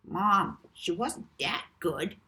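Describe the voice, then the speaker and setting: A woman's voice: lowish voice, expressive, slow, pushed and bright, full character voice. A woman voicing a small, unimpressed American boy in a storybook, blunt and matter-of-fact. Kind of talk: storybook character voice.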